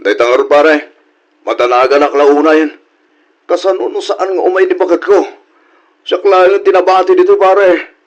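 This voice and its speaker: A man's voice: high-pitched, moderate pace, long pauses, lo-fi sound, lightly acted. A man acting an aggrieved, defensive husband in an audio drama, with a complaining manner. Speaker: drama actor.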